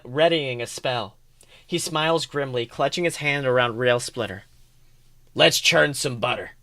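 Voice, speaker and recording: A man co-hosting a podcast, reading fan fiction aloud as an expressive narrator. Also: mid-range voice. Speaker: podcast co-host.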